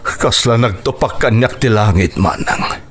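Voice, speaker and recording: A Filipino man with an Ilocano accent playing an anguished, protective middle-aged father in a radio drama, emotive and halting. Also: fairly low pitch, a bit bright, a little hiss or hum. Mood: sorrowful.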